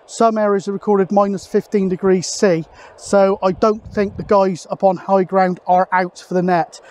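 A man's voice: sits high, flat delivery, moderate pace, a little mellow. A British man in his forties chatting casually to camera as a vlogger, recorded outdoors on an open hilltop. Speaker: vlogger, CB radio hobbyist. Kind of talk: casual outdoor vlog talk.

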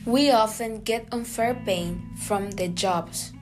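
Guatemalan-born student, reads evenly at a middle pitch over guitar music. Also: female voice, takes it slowly, poor audio. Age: young adult. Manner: earnest, steady reading. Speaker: student podcaster. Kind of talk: scripted reading.

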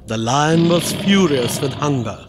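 A man's voice: fairly high pitch, animated, measured pace, noisy background. A man narrating a scripted children's story as the storyteller. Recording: clear music behind the voice.

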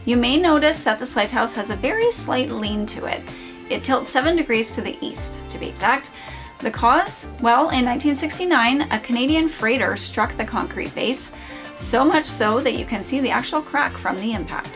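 A woman's voice: fairly high pitch, animated, normal speed. A woman in her forties hosting a lighthouse channel, reading a script in an upbeat, enthusiastic way, framed head and shoulders. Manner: upbeat, enthusiastic descriptive narration. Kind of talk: scripted narration. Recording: clear background music.

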